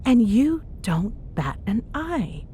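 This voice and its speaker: A woman's voice: sing-song, slow pace, warm tone. A woman playing a teasing, bossy but fond young ghost hunter in an intimate ASMR roleplay, bantering sarcastically in a casual, murmuring way. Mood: amused, teasing. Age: young adult. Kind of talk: ASMR audio roleplay, intimate one-sided chat. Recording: clear background noise with low rumble.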